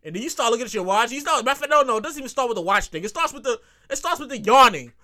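A man's voice: very high-pitched, racing. A man in his thirties hosting a casual solo podcast, rambling and cursing as he repeats himself. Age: 30s. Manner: animated, acts out quotes.

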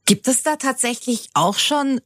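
A woman hosting an interview, asking questions and reacting with quick affirmations. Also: high-pitched, no echo, clean studio sound. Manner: lively, frequent laughs and short affirmations. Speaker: interview host. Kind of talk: podcast interview.

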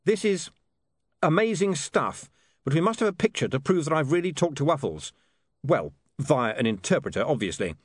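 Guy doing a flustered young newsman, high and lively, audiobook. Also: slightly rough, no room sound, clean studio sound. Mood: earnest. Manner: earnest, brisk, slightly flustered.

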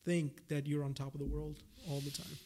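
A man's voice: a little high, level tone, a little fast, warm tone, a little hiss or hum. A young man from India, a native Gujarati speaker, chatting casually as an interview guest, talkative and often repeating and rephrasing his phrases. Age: young adult. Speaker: podcast guest, PhD researcher.

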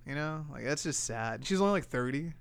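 A brash young man trading crude jokes on a podcast. His voice sits fairly high and comes through smooth and crisp. The sound is close and dry, with no room around it. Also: expressive, brisk, no echo. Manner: brash, joking, swearing freely. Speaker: podcast co-host. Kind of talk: crude casual banter.

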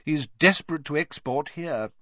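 A man's voice: a little high, normal speed, pushed and bright, no echo, lo-fi sound, pitched above the performer's own voice. A man acting a stiff, clipped elderly bureaucrat. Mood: dry, formal. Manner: clipped, dry, proper. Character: stiff, impatient, elderly male bureaucrat wizard.

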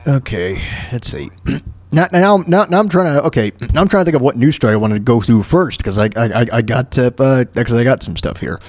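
An American man hosting a talk show solo, rambling casually through news and sports in a halting, stammering way, repeating words and phrases. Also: medium pitch, animated, dry recording.

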